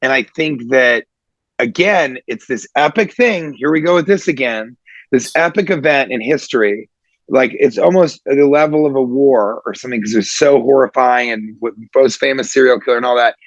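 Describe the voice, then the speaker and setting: A man's voice: medium pitch, normal speed, dry recording, lo-fi sound. A man co-hosting a podcast in casual chat, talking about films with eager emphasis. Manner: enthusiastic, emphatic film talk. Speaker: podcast co-host.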